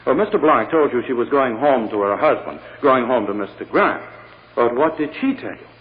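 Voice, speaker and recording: Actor playing a theatrical prosecutor in a reverberant hall. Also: male voice, medium pitch, lively intonation, close to an everyday voice. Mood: pressing, skeptical. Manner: oratorical, insistent, dramatic pauses. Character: forceful, theatrical male prosecutor pressing witness and jury. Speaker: actor in a radio courtroom drama. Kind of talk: old-time radio acting. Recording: muffled old radio, long hall reverb.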